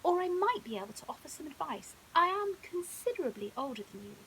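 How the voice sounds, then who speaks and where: A woman's voice: high-pitched, big pitch swings, brisk, vocal fry, a bit bright, heavily acted, rough recording. A woman voicing a posh, self-satisfied, clever older female crocodile in a sing-song storybook manner. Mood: kindly. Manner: posh sing-songy delivery. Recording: hissy background noise.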